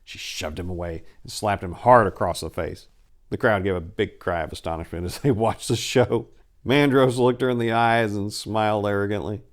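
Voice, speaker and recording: Low-pitched theatrical male storyteller. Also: fairly even intonation, moderate pace. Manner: theatrical, emphatic. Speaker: narrator and channel host. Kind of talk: dramatic storytelling narration.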